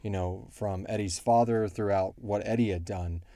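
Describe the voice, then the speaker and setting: Low, mellow-voiced man chatting on a podcast. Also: steady tone, moderate pace, no room sound. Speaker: podcast co-host and show creator answering fan questions. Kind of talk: casual question-and-answer chat about a fiction series.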